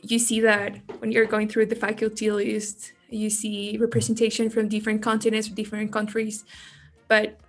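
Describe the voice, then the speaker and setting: A woman's voice: medium pitch, completely flat delivery, moderate pace, faint music behind. A young woman, a university student, explaining her views in a casual podcast discussion. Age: young adult.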